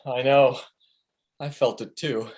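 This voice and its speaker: A man playing a goofy, excitable bro of a sidekick in a comedic script reading. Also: mid-range voice, slow pace, close to an everyday voice. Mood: dopey admiration. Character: goofy, excitable dude sidekick named Steve. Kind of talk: comedic script table read.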